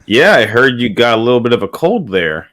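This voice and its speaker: A man's voice: medium pitch, very animated, a bit bright. A man co-hosting a casual podcast, cutting in with teasing remarks and short asides. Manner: teasing, quick interjections and chuckling asides. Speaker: podcast co-host.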